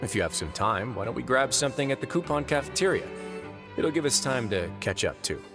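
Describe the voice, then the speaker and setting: A man playing a calm, wry, authoritative prison overseer in a video game, speaking in a composed, formal way. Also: medium pitch, a little fast, over music, lightly acted. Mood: courteous, composed. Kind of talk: video game character voice acting.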